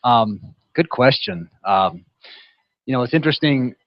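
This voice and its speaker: A man's voice: medium pitch, expressive, unhurried, no room sound. Fifties man chatting casually on a panel. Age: 50s. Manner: casual, lots of "you know" and "right". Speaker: athlete guest. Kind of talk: panel discussion answer. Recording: dull dry audio.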